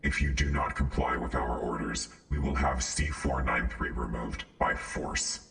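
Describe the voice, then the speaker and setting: Casting: male actor as a stern facility guard, extremely low processed voice, clipped and official, distant over an intercom. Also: steady tone, a little slow, gravelly, a bit bright, heavily acted. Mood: stern, threatening. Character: cold, authoritarian male containment facility guard. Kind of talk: audio roleplay acting, formal announcements. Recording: echoey, distant, slightly noisy announcement.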